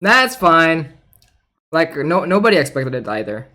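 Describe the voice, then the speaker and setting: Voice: mid-range voice, big pitch swings, a little slow, sharp tone. A streamer giving casual, conversational commentary on a game during a livestream. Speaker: streamer, host.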